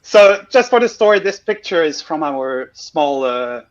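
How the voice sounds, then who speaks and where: A man's voice: on the high side, sing-song, a little slow. A man from Switzerland presenting casually on a livestream, full of fillers and self-deprecating asides. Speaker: guest presenter. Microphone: video call. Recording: dull lo-fi call audio.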